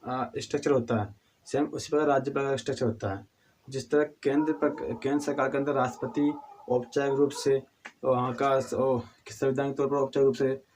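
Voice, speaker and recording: A hoarse man with a cold teaching a civics lesson, halting and restarting often, asking questions and answering them himself. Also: mid-range voice, darkish tone, slight background noise. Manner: halting, with frequent restarts and throat clearing; addresses viewers as friends, asks and answers own questions.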